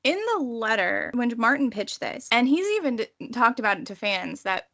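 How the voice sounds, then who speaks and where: Woman podcast cohost, bright voice, chatty and into it, goes off on tangents explaining stuff. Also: fairly high pitch, lively intonation, normal speed. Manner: enthusiastic, digressive explainer. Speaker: podcast co-host. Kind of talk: casual, chatty book discussion.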